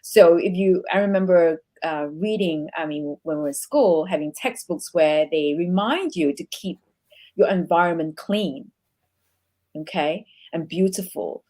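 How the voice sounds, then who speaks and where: A woman's voice: fairly low pitch, unhurried, warm tone. A woman from Singapore, a guest in a casual conversation who talks reflectively and searches for her words.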